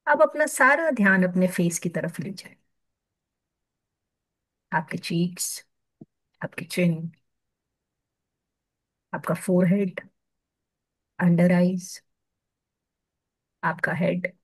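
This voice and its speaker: Female meditation guide, Indian accent, very slow and soothing, dry close sound. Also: on the low side, no echo, poor audio. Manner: soothing, hushed at phrase ends, with long pauses.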